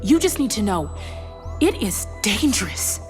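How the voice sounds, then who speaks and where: A woman playing a sharp-tongued, defiant small-town scientist with a folksy, commanding manner in an audio drama. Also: medium pitch, big pitch swings, measured pace, soft background music. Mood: grave explaining.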